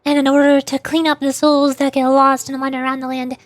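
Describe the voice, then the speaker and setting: A woman's voice: high-pitched, little pitch movement, a little fast, no echo. A woman streamer reading game dialogue aloud in character, earnest and dramatic, now and then tripping over words.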